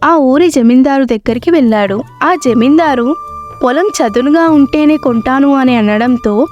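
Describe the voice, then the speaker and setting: A woman's voice: high-pitched, normal speed, dull audio. A woman storyteller narrating a scripted moral tale. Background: faint background music.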